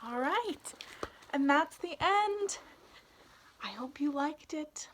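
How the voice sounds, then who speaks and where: A young adult woman reading a picture book aloud expressively, in an animated way, for young listeners. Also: high-pitched, takes it slowly, slight background noise. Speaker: read-aloud storyteller, youth intern.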